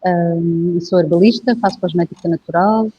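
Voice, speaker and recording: Husky-voiced Portuguese woman, dull dry sound. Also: lowish voice, steady tone, normal speed, dark tone. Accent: European Portuguese. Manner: reflective, hesitant, with frequent filler phrases. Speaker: guest herbalist. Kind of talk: casual interview conversation.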